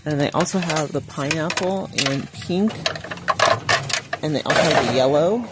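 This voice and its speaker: A woman's voice: deep voice, normal speed. Lady filming herself shopping on her phone, chatty, rattling off prices, lots of store noise behind. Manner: chatty, reads out prices, addresses viewers as you guys. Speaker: shopping vlogger. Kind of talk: casual shop-with-me narration. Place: large retail store, indoors. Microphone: phone held while filming, speaker off camera.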